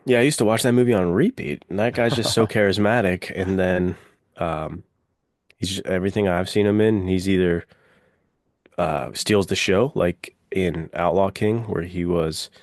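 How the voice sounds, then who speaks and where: A man's voice: deep voice, moderate pace, darkish tone, no echo, clean studio sound. A man hosting a podcast, chatting casually and enthusiastically about films in very informal, slangy speech. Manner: informal, peppered with "like" and "dude". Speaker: podcast host. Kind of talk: casual enthusiastic film chat.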